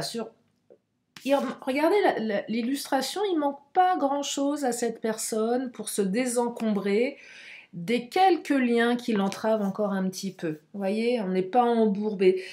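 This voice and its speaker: A woman doing a tarot reading for her viewers, hoarse and speaking through a stuffed nose, halting often with fillers. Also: medium pitch, a little mellow, roomy sound. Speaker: tarot card reader.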